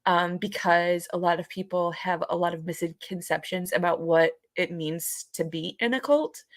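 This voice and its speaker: Lower-pitched woman chatting on a podcast. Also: moderate pace. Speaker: podcast co-host. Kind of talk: casual live podcast chat.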